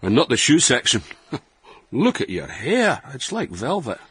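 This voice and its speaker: A man's voice: mid-range voice, huge pitch swings, a little slow, fairly bright tone, breathy edge, no echo, heavily acted. An actor playing a roguish, boastful Scottish man in his sixties from Dundee in a radio comedy, colloquial and mock-sincere. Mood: flirty, smooth. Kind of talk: radio comedy acting, reading out emails.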